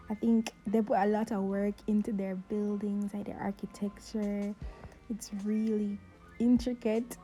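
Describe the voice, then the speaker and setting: A woman's voice: mid-range voice, dark tone. A nineteen-year-old woman from Jamaica with a Jamaican accent, chatting casually in a Q&A vlog, laughing often and filling with "you know" and "like". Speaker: vlogger.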